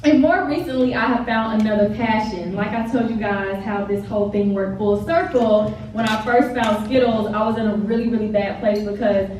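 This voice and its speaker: A woman's voice: mid-range voice, steady tone, moderate pace, few pauses. A woman influencer and mother giving an earnest speech at a party table, filling gaps with "um" and "like". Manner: earnest, repeats "like" and "um". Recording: distant mic in a very echoey room with faint noise.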